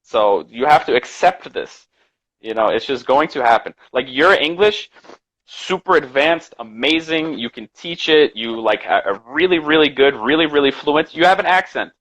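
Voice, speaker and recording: A man's voice: mid-range voice, animated, normal speed, fairly bright tone, rough recording. A man co-hosting a casual live stream chat about learning English, talking earnestly and repeating his points for emphasis over a video call.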